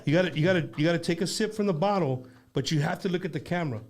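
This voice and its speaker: A middle-aged man hosting a casual talk show that drops Spanish slang into English. His voice sits a little high for a man. He rambles and circles back on his words as he goes. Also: racing. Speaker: show host. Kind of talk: casual chat with a band.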